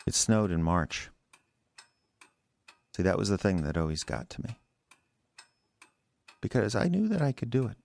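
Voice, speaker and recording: A gravelly, stammering husband confessing murder. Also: male voice, lowish voice, sing-song, a little mellow, exaggerated voice. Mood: brooding. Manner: halting, stammering, rambling. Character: agitated, defensive husband confessing to killing his wife. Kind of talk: audio drama monologue.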